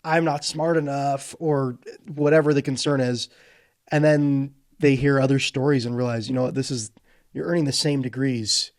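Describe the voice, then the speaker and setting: A man's voice: mid-range voice, steady tone, moderate pace. A man hosting a casual interview, asking encouraging questions with enthusiasm. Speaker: host.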